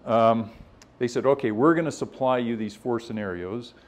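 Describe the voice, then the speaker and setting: A man's voice: medium pitch, moderate pace. A man presenting at a scientific workshop in an informal, conversational way, explaining things and voicing other people's positions as he goes.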